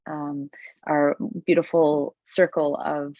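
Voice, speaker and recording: A woman's voice: low-pitched, level tone, slow, no room sound, narrow, tinny audio. A woman hosting an online interview webinar, upbeat and friendly as she asks questions. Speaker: host. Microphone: video call.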